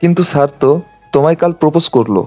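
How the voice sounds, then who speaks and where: A man's voice: a little high, racing, dark tone, soft background music, natural voice. A man playing a bewildered young comic sidekick in an amateur romantic audio story. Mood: matter-of-fact.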